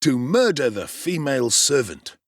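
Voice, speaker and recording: A man's voice: mid-range voice, very animated, normal speed, no room sound, voice pushed up. A self-important, middle-aged parish beadle who blusters and fusses, acted by a man. His voice sits somewhat raised. The recording is close and dry. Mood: blustering. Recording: dry close studio sound.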